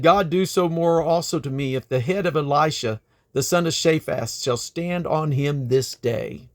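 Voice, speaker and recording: A man reading the Bible aloud in a deliberate, expressive way. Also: a little high. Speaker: scripture reader.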